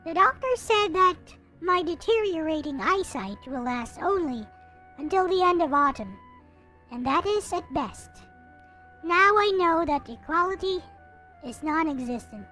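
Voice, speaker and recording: A woman reading aloud as a despairing young visual novel narrator, with dramatic, deliberate recitation. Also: very high voice, animated, sharp tone, full character voice. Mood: bitter, despairing. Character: despairing young narrator losing eyesight, wistful and bitter. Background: game music.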